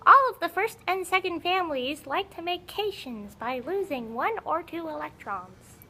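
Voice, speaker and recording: A woman's voice: very high-pitched, lively intonation, moderate pace, bright, forceful tone, slight background noise, heavily acted. A woman voicing a grumpy, conceited old talking puppet who lectures impatiently in an educational puppet show. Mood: lecturing, haughty. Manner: haughty, exasperated, scolding, lecturing.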